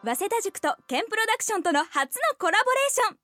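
A woman's voice: very high-pitched, sing-song, fast talker, pushed and bright, no room sound, soft background music. A woman reading scripted promotional narration as an announcer in a studio. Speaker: commercial announcer. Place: dry studio.

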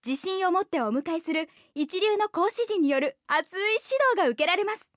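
Female announcer for promo spot, very high voice, close dry sound. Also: lively intonation, moderate pace, fairly bright tone, dry recording. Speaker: commercial announcer. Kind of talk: scripted promotional narration. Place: dry studio.

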